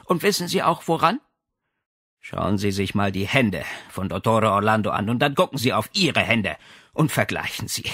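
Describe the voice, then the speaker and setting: A man's voice: lowish voice, sing-song, normal speed, a bit bright, slightly rough, no echo, studio quality, full character voice. A man voicing a haughty, imperious lady with a condescending, commanding way of speaking in an audiobook. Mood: scornful. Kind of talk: audiobook character dialogue.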